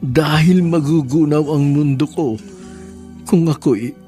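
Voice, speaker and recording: A man's voice: a little high, takes it slowly, warm tone, soft background music. A man hosting and narrating a radio drama, speaking formally, earnestly and politely.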